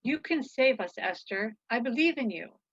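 A woman reads as a queen's devoted male cousin in a children's storybook. The delivery is earnest and urgent, high in pitch, with little room sound. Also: lively intonation, normal speed, breathy edge, dry recording, dull audio.

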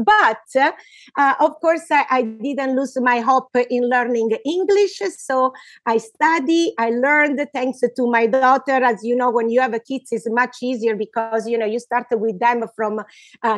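A woman in her fifties from Italy, speaking English with an Italian accent, telling her story as an interview guest over earbud headphones, lively and expressive. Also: fairly high pitch, dry recording. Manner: lively, expressive, laughs often. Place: home room with shelves, video call. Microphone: earbud headset mic.

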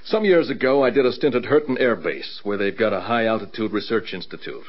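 A man's voice: lowish voice, fairly quick, natural voice. A man playing a stubborn, insistent air control officer in an old-time radio drama. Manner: emphatic, insistent. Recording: dull old radio recording.

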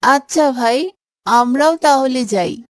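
A woman's voice: sits high, slow, dry recording, studio quality. A woman voicing an eager schoolboy in a children's moral cartoon. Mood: eager, friendly. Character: eager schoolboy organising a picnic with friends.

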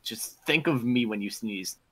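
A man's voice: mid-range voice, unhurried, pushed and bright. A young man chatting over a headset while gaming, teasing his friend with crude jokes and laughing often. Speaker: streamer. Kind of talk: casual gaming stream banter.

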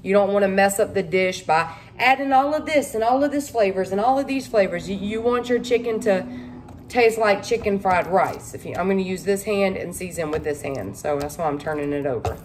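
A chatty woman with a drawl talks her viewers through a recipe as she cooks. She sounds folksy and easygoing, with a medium pitch. Her voice comes through the camera mic in a home kitchen, with a faint room hum behind it. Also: brisk. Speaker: home cooking vlogger. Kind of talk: casual cook-along talk to camera.

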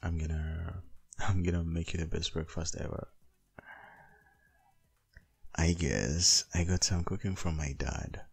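A man playing a doting, protective, gently dominant boyfriend in an intimate ASMR roleplay, murmuring softly and coaxingly close to the microphone. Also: low-pitched, steady tone, measured pace, pauses often, exaggerated voice. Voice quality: husky, gravelly, breathy.

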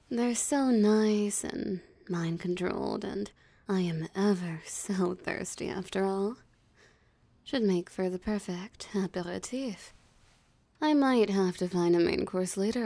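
A woman's voice: mid-range voice, vocal fry, dark tone. A woman voicing a possessive, mocking female vampire in an ASMR roleplay, with a teasing, theatrically smug drawl. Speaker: voice actor. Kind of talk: ASMR roleplay audio drama.

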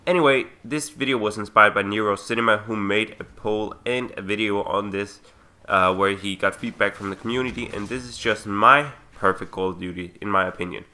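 A man's voice: lowish voice, fairly quick, pushed and bright. A young man talking casually over gameplay footage as a gaming commentator, sick with a sore throat, rambling and correcting himself as he goes. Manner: rambling, self-correcting, chatty.

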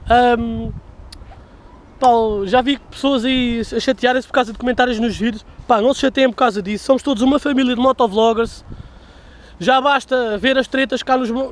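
High-voiced swearing Portuguese vlogger outdoors. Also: male voice. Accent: European Portuguese. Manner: loose and chatty, swears freely, rambling; walking while talking, drinking a beer. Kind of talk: casual vlog to camera. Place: outdoors on a dirt path in a field. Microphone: handheld camera at arm's length.

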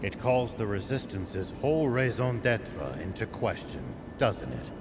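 A man voicing a gruff, level-headed older veteran in a video game, pragmatic and measured. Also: fairly low pitch, big pitch swings, background noise. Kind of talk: video game cutscene acting. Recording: long hall reverb, music clearly underneath.

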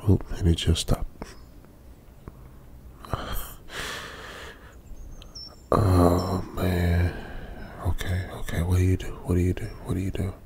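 Man acting as a deep, gravelly young trucker. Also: completely flat delivery, noisy background. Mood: anxious. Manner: slow, drawling colloquial muttering with pauses. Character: young lonesome long-haul trucker, weary and uneasy. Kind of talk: ASMR old-school radio drama monologue.

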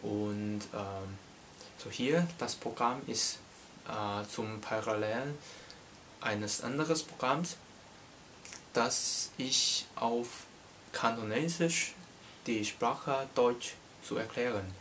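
Slow, halting young Hong Kong man. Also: mid-range voice, fairly bright tone, slight background noise. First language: Cantonese. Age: young adult. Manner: slow, halting, many hesitations. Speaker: language teacher. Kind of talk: casual language lesson. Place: small bedroom indoors. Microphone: camera in front at desk distance.

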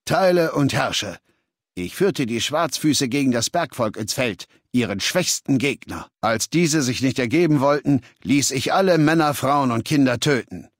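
An actor playing a ruthless, learned middle-aged warlord in a video game, speaking in a measured, authoritative, lecturing way with cold arrogance. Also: male voice, medium pitch, lively intonation, no echo, clean studio sound. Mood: lecturing, proud. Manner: measured, authoritative, lecturing, coldly matter-of-fact. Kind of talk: scripted video game dialogue in German.

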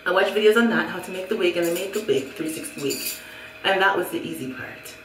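A woman's voice: lowish voice, quick pace, far from the mic, slight background noise. Chatty Jamaican woman in an echoey room. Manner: chatty, laughs often, repeats herself. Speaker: hair vlogger. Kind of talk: casual product review. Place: small room at home, indoors. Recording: echoey room, a little off mic.

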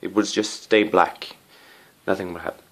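A man giving a casual hands-on video review in a living room, rambling with apologetic asides and a playful, excitable streak. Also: deep voice, normal speed. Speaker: amateur gadget reviewer. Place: living room with wooden floor and rug.

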